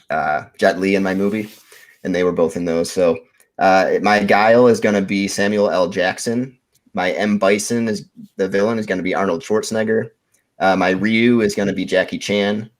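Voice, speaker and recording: A man giving a casual, rambling movie pitch as a podcast contestant.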